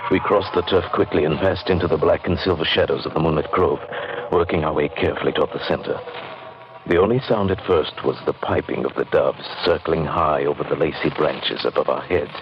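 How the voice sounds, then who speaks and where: An actor playing an earnest gentleman narrator in an eerie old time radio drama, with measured, literary delivery. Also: very deep voice. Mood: tense wonder. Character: earnest gentleman narrator recounting eerie events, increasingly spellbound. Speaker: narrator and lead in a radio play. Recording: muffled, noisy old radio recording.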